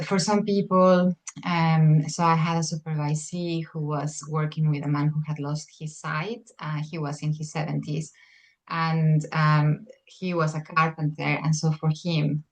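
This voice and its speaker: A woman, a perfumer and psychotherapist, answering interview questions in a reflective way, with many pauses and fillers. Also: low-pitched.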